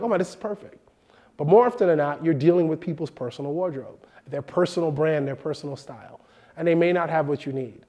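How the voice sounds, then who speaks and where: A man in his thirties hosting a film production lesson in a studio, animated and expressive, sounding a bit nasal from a cold. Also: a little high. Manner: animated, expressive, gestures with hands. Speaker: host. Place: indoor studio set.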